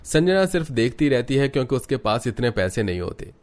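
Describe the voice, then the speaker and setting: A man's voice: medium pitch, big pitch swings, a little fast. A man reading scripted narration for a moral cartoon story.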